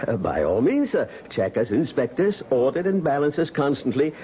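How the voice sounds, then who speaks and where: An actor playing an over-eager, obsequious bank official in a 1970s radio drama, effusive and given to repeating himself. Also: male voice, medium pitch, very animated, normal speed, lightly acted. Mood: fawning, eager. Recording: muffled, faintly noisy 1970s radio broadcast.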